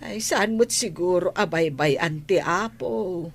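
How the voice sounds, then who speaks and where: A woman's voice: lowish voice, unhurried. A woman with an Ilocano accent acting a patient old wife in a radio drama. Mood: concerned.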